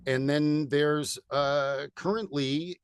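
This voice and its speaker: A man in his forties from California, a voiceover artist chatting as a guest in an upbeat, energetic way with lots of fillers. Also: a little high, takes it slowly, smooth, no room sound. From United States (California). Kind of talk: casual interview chat.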